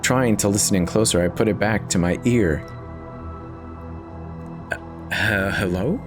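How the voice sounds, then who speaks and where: A man giving a dramatic reading of a first-person horror story, somber and confessional, with dramatic pauses. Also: fairly low pitch, over music.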